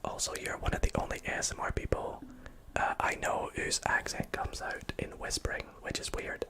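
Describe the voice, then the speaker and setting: A person with a Scottish accent whispering softly into a close microphone while answering chat questions on a livestream, with frequent ums. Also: moderate pace. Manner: soft whisper, reading chat aloud with many fillers. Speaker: ASMR streamer.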